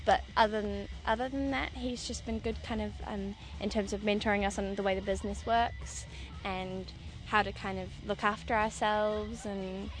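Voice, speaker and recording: A woman's voice: medium pitch, fairly even intonation, normal speed, faint music behind. A young woman musician from Auckland, chatting casually and cheerfully.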